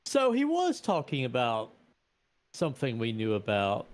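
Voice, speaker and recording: A man's voice: medium pitch, wildly swooping pitch, a little slow. A man talking casually as a player in a tabletop role-playing game.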